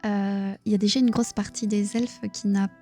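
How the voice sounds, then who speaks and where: A woman with a Belgian accent reading a book aloud on a live stream in a hushed, near-whispering voice, stopping to chat with her viewers. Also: medium pitch, flat delivery, moderate pace, soft background music. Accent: Belgian French. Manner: reads narration in a hushed, near-whispered voice, chats plainly with viewers.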